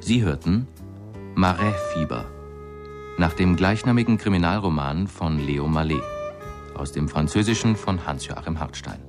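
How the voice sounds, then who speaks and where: A man reading scripted closing credits for a radio play in a formal, measured manner. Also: low-pitched. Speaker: closing announcer. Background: guitar music.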